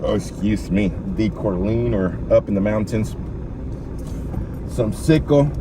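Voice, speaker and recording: A man's voice: animated, measured pace. A man giving casual, rambling, unscripted live commentary as an independent news streamer, talking informally to his viewers. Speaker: independent livestream news host. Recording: noisy live stream with vehicle-like rumble.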